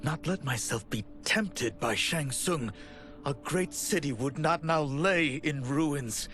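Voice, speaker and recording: An actor playing a broken, remorseful high mage, narrating gravely and formally for a video game. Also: male voice, takes it slowly, a bit bright, soft background music.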